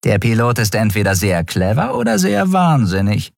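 Man as a formal female alien officer, raised pitch. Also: very animated, normal speed, no echo, studio quality. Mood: formal report. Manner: crisp military reporting. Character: female predator-toothed alien first officer, brisk, formal.

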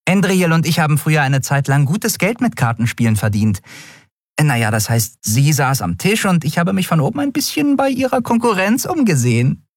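A man's voice: a little high, sing-song, normal speed, no room sound, studio quality, voice pushed up. A man voicing a tiny winged female creature, playful, teasing and boastful, in an audiobook. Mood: proud, breezy. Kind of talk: audiobook character voice.

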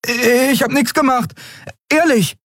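A man's voice: very high-pitched, slow pace, a bit bright, dry recording, studio quality, voice pushed up, full character voice. A man voicing an eager, obedient boy serving on a ship in an audiobook. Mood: innocent.

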